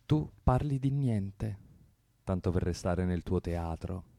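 A man's voice: low-pitched, animated, slow, vocal fry, mellow, no room sound, exaggerated voice. Cold, commanding militant man, close dry sound. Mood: cold, scornful. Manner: commanding, lecturing, provocative. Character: cold, doctrinaire 1970s Italian communist militant man. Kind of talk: radio drama acting.

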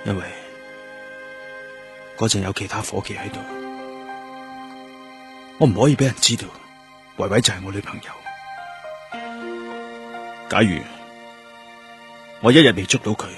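A man's voice: fairly low pitch, a little slow, pauses often. A man acting a grieving, introspective police detective in a Cantonese radio drama, with a Hong Kong accent and guitar music behind him. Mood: sombre, grieving. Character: brooding, grieving male police detective haunted by loss. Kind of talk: radio drama acting with first-person narration. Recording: music under the voice.

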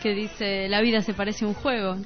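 A woman's voice: medium pitch, little pitch movement, a little fast. A woman with a Rioplatense Argentine accent co-hosting a radio show in a casual, chatty style. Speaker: radio co-host. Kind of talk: casual radio chat, addressing listeners. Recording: slightly muffled radio audio with music behind. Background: music.

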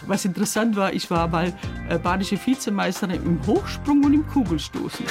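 Eine Frau erzählt als Gast ungezwungen in einem Interview. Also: female voice, mid-range voice, lively intonation, brisk. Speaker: talk show guest, nun. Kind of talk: casual interview talk. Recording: trailer music behind the voice.

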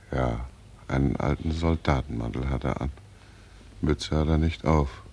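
An actor playing Death as a callous, mocking old undertaker in a 1940s radio drama, muttering sardonically in a gravelly voice. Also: male voice, rumbling low, a little mellow, heavily acted.

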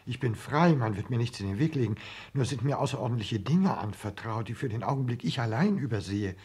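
A man acting a guarded, ambitious male secretary in a 1960s German radio play, speaking formally in measured, clipped phrases. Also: medium pitch, lively intonation, a little mellow, breathy edge. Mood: guarded, restrained.

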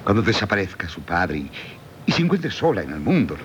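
An actor with a Castilian accent playing an earnest middle-aged former teacher and suitor in formal, solemn radio theatre style. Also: male voice, very animated, fairly quick, dull audio. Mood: earnest, ardent. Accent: Castilian Spanish. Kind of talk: 1970s Spanish radio theatre acting. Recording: old radio broadcast, low rumbling noise.

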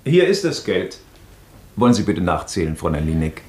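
An actor playing a courteous, scrupulous aristocratic baron in a radio play, speaking in a formal, measured and considerate way. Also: male voice, deep voice, sing-song, darkish tone, husky, full character voice.

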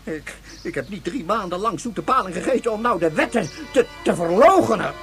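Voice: mid-range voice, very animated, fairly quick, over music. An actor in a radio drama playing a sick, miserable, agitated citizen, stammering and gasping in distress. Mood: bitter, hateful. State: nauseous, in distress. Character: sick, miserable, agitated citizen force-fed sweet eel.

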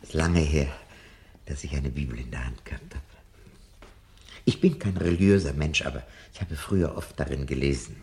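An old, disillusioned man of books in a radio drama. His deep voice is gravelly and swings widely in melody. He speaks theatrically, brooding and sardonic, like a self-confessed coward looking back. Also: lively intonation, normal speed, darkish tone. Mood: guarded, reflective. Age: older. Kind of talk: 1970 German radio drama acting.

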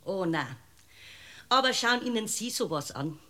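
An actress playing an indignant, gossipy parish housekeeper with a rural Bavarian accent in a radio drama, garrulous and self-righteous. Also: female voice, medium pitch, expressive, a little slow, bright, forceful tone. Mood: defensive, indignant. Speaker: actor. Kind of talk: German radio drama acting.

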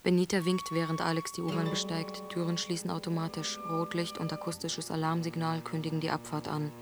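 A woman narrating a radio drama in measured, short recited phrases. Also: deep voice, completely flat delivery. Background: music.

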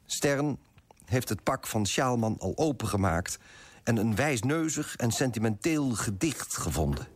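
A man's voice: medium pitch, big pitch swings, measured pace, breathy edge, no echo. An actor playing a smug, moralizing male broker who narrates in a pompous, disapproving way in a radio play. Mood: disdainful.

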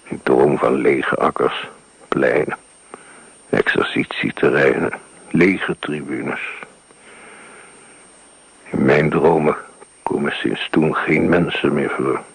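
An actor playing an older writer haunted by a wartime firestorm, speaking slowly in a hushed, breathy, gravelly voice in a radio play. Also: male voice, a bass voice, dry recording, exaggerated voice, low-quality recording. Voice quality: gravelly, breathy, half-whispered. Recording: old radio broadcast recording.

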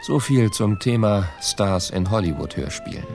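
A veteran Hollywood radio director, a man with a lowish voice. He tells his recollections in a conversational, anecdotal way for a radio documentary, with faint music. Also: lively intonation, normal speed, creaky, a little mellow. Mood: wry storytelling.

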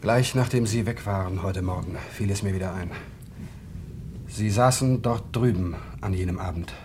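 An actor playing a blunt, brooding local man in a radio drama, direct and a little halting. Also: low-pitched, noisy background, lightly acted. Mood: wary, brooding. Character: blunt, brooding male local acquaintance, questioning. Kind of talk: German radio drama acting.

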